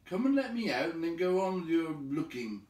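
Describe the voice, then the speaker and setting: A man's voice: fairly high pitch, big pitch swings, moderate pace, a little mellow, pitched above the performer's own voice. A man voicing a plucky, exasperated little male adventurer in a storybook, calling out insistently. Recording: echoey room, distant from mic.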